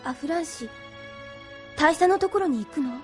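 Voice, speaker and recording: A woman's voice: sits high, lively intonation, moderate pace. A woman playing a tender, devoted young woman in love in an anime audio drama, with emotive delivery. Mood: tender, wistful. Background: background music.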